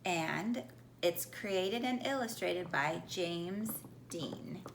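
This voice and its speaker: A woman reading a children's picture book aloud like a teacher, in a deliberate, expressive manner, putting questions to young listeners. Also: fairly low pitch, gravelly. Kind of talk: animated children's storybook read-aloud. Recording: echoey room with faint background noise.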